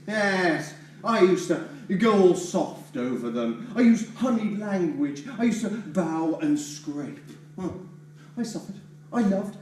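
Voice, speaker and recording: An actor playing a rude, blustering debt collector who shouts, rants and mocks, in a stage play. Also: male voice, fairly high pitch, a little hiss or hum. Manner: shouting, sarcastic, ranting. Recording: echoey hall, distant mic.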